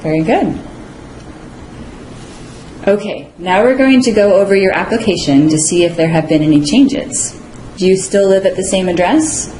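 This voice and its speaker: A woman acting as an interview officer, asking brisk, clear questions and giving short approvals. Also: medium pitch, lively intonation, warm tone, a little hiss or hum. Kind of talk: scripted mock interview questioning. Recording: roomy echo with faint ambience.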